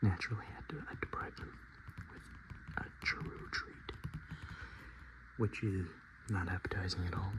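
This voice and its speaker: A man speaking softly and in whispers, chatting casually as an ASMR host with an unhurried, self-deprecating manner. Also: low-pitched, steady tone, poor audio. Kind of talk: soft-spoken and whispered ASMR, casual chat.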